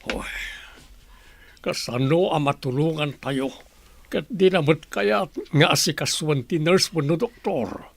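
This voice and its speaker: A man's voice: on the high side, slow, creaky, dry recording, heavily acted. An actor playing a concerned, patient family man in a radio drama, reasoning earnestly. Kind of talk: Ilocano radio drama acting.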